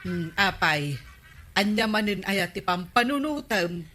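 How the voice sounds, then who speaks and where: An actress playing a scolding, exasperated wife with an Ilocano accent in a radio comedy drama. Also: female voice, medium pitch, expressive, noisy background, natural voice. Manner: scolding, drawn-out complaining. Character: nagging, exasperated wife of the village captain.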